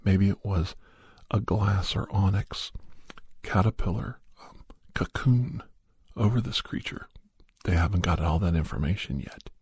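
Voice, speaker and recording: A man with a lowish, gravelly voice hosts an ASMR podcast. He explains things informally and without hurry, keeping it hushed and close to the mic. The sound is very dry, with no sense of a room. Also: measured pace, long pauses, dry recording. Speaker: podcast host. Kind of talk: ASMR, rambling update and story recap.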